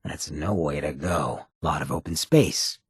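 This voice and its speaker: An actor voicing a sardonic, streetwise ghoul town boss for a video game, with a gravelly rasp and a sly, slangy delivery. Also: male voice, extremely low pitch, a little slow, no echo, clean studio sound, exaggerated voice. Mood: sardonic musing. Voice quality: gravelly, raspy. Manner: sly, laid-back, slangy. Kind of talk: video game companion voice lines.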